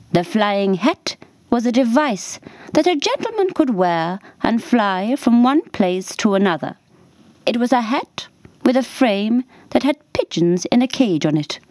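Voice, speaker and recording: A woman narrating a scripted children's story for radio in a measured, deliberate storybook manner. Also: medium pitch, a little mellow, no echo.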